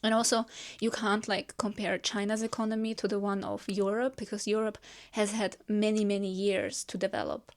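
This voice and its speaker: A woman talks in a relaxed way with friends. She is reassuring and chatty, and she explains at length with plenty of "like" fillers and restarts. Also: mid-range voice, normal speed, few pauses. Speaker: friend giving feedback. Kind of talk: casual chat among friends.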